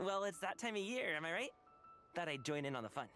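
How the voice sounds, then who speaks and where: A man's voice: sits high, very animated, brisk, sharp tone, soft background music, full character voice. A man playing an upbeat, friendly and modest young male dancer in a video game, casual and breezy. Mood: cheerful. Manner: casual, breezy, chuckles self-consciously.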